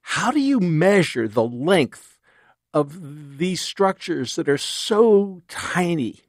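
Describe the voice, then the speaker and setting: A man interviewing a guest, asking questions in a deliberate, unhurried way. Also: a little high, sing-song, dry recording, clean studio sound.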